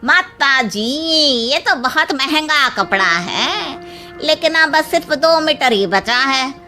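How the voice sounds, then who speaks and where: A woman's voice: high-pitched, animated, takes it slowly, sharp tone, faint music behind, exaggerated voice. A woman voicing a polite, deferential market cloth seller in a moral-story cartoon.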